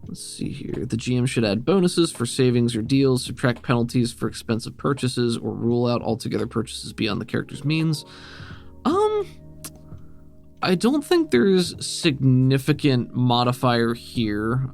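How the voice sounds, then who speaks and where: A man's voice: medium pitch, big pitch swings, moderate pace, vocal fry, darkish tone. A man speaking conversationally as game master, narrating and explaining a tabletop role-playing game. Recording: guitar music playing behind the voice. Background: plucked guitar background music.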